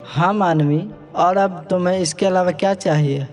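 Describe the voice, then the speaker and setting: A man's voice: sits high, steady tone, normal speed, darkish tone, natural voice. A man voicing a mild, placating young village son and husband in a Hindi cartoon story.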